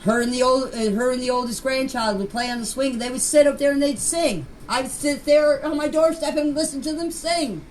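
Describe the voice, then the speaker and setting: An older woman with a high-pitched voice shares personal memories. She talks in a plain, folksy way and circles back to repeat phrases. The amateur voice clip carries some background noise. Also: brisk, few pauses, darkish tone, rough recording. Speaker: grandmother recounting memories. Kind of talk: informal personal recollection.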